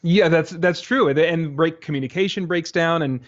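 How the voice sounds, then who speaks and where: A man's voice: a little high, moderate pace, lo-fi sound. A man interviewing casually, agreeing briefly and speaking in a hesitant, easygoing way. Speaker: podcast interviewer.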